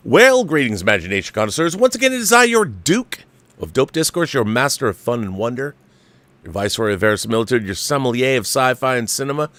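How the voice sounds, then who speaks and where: A man's voice: medium pitch, big pitch swings, quick pace, fairly bright tone, dry recording. A man hosting a livestream, giving casual, opinionated film commentary with a theatrical showman's turn of phrase.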